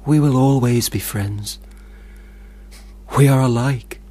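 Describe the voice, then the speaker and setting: An actor playing an earnest, prim, troubled young poet in a radio play, husky and formal in his phrasing. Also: medium pitch, takes it slowly, mellow. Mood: tender.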